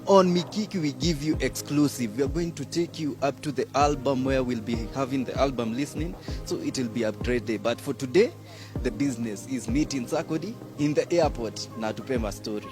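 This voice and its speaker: Upbeat sing-song host. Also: male voice, medium pitch, fairly quick. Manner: lively, sing-song, mixing Swahili into English. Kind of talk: upbeat show intro. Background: music.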